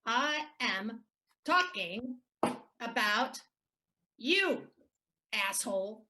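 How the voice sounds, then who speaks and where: Woman acting a bitter, mocking ex-wife, highly animated. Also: drawn-out words, background noise, full character voice. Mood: bitter, angry. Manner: taunting, sardonic. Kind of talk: audio play acting.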